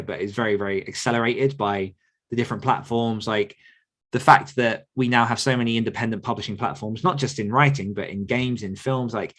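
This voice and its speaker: A man in his thirties talks loosely through listener questions on a podcast. His voice sits at an everyday middle pitch. He wanders, circles back and restarts his thoughts, and laughs easily. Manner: relaxed, rambling with fillers and restarts, laughs often. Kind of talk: casual chat.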